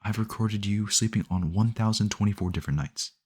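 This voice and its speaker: Man acting a low-voiced, doting obsessive boyfriend. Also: fairly quick, husky. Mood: doting, possessive. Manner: doting, rambling, earnest self-justifying, close and conversational. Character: obsessive, possessive yandere boyfriend, sweetly cheerful kidnapper. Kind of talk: ASMR audio roleplay addressed to the listener.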